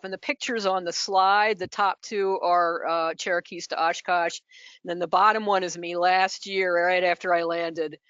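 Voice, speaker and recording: A woman's voice: mid-range voice, fairly bright tone, clean tone, no room sound. A woman pilot joins a webinar as the guest, speaking in a friendly, enthusiastic way. Her audio is dry and close. It sounds slightly muffled. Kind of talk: casual webinar talk.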